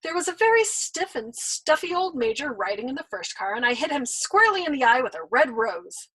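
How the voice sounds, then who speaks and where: A woman voicing a shameless, worldly old man over a hundred, teasing and smug, in a breathy voice, as she reads a storybook aloud. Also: high-pitched, big pitch swings, brisk, fairly bright tone, voice pushed up, exaggerated voice, poor audio. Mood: mocking.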